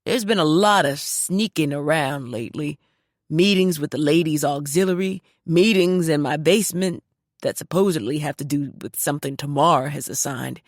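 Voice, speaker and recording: A woman's voice: deep voice, moderate pace, dry recording, clean studio sound. A woman voicing a family man wondering wryly what the kids are up to. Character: man in a men's group, curious about the kids.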